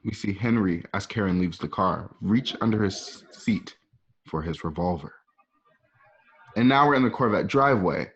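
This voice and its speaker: A man narrating an amateur radio drama, reading out the scene directions as the narrator. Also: low-pitched. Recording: dull lo-fi home recording.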